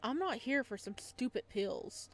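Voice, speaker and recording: A woman's voice: fairly high pitch, expressive, measured pace. A sneering, possessive man from a horror visual novel, as a woman reader puts him on. He drawls with mockery and menace, hostile to anyone in his way. Faint music runs underneath. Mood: dismissive, mocking.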